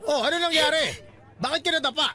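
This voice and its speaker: A man's voice: very high voice, quick pace, a crowd in the background, noisy background. A man playing a big, grumpy village father who scolds and threatens. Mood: scolding. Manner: scolding, bullying.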